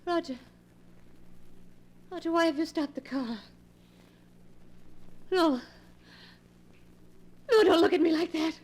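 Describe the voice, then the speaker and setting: High-voiced anxious radio drama heroine. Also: female voice, slow, long pauses. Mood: terrified, pleading. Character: anxious, frightened young woman in love. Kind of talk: 1940s radio melodrama acting. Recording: faintly noisy 1940s radio recording.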